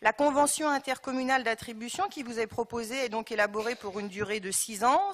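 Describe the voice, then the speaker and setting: Woman councillor reading out a formal presentation, highish voice, big echoey hall. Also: a bit bright. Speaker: local elected official. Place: large council chamber.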